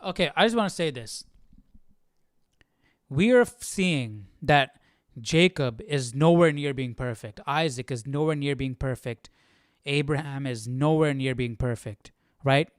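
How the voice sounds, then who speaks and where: A man's voice: medium pitch, a little slow, dry recording, studio quality. A man hosting a Bible study, reading aloud and commenting casually as he thinks aloud. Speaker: host of a live Bible study.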